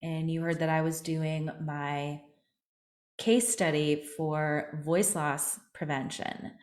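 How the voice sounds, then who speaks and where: A woman's voice: low-pitched, fairly even intonation, slow, roomy sound. A woman hosting an interview as a vocal coach, asking encouraging questions in casual chat.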